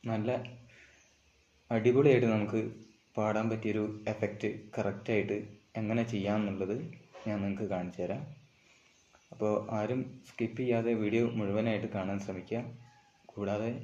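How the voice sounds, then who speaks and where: Low-voiced man, dull recording. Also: warm tone. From Kerala, India. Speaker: amateur vlogger giving an app tutorial. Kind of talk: informal step-by-step tutorial narration.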